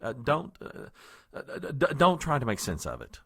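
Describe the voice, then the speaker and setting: A man acts out a flustered, self-important political aide in an audio comedy. His husky voice leaps up and down in pitch as he stammers through stiff, formal protests. The recording is close and dry. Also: huge pitch swings, moderate pace, no room sound, exaggerated voice. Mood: anxious, secretive. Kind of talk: comedic audio drama acting.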